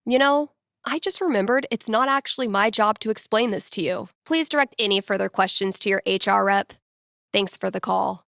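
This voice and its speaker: A dry, close-recorded voice of a young woman radio DJ in a video game, acted by a woman. She is candid and quick-witted, with a mid-range pitch. Her delivery is conversational and wryly sarcastic, like casual on-air patter. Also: a bit bright, no echo, close to an everyday voice. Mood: playfully deadpan. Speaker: radio host.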